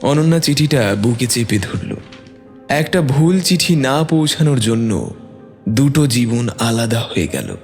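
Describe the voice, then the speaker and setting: Male storyteller, lively dramatic narration, mid-pitched, reverberant sound with music underneath. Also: unhurried.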